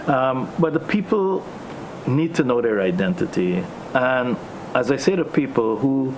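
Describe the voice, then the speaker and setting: A Guyanese man leading a discussion, speaking in a measured way with many pauses and ums. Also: mid-range voice, noisy background. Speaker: host.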